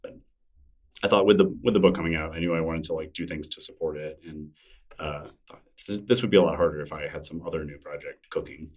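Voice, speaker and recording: A man's voice: very deep voice, fairly even intonation, fast talker, husky, far from the mic. A middle-aged man, a guest in an interview, talking in a thoughtful, rambling way with many fillers and restarts. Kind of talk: casual interview conversation.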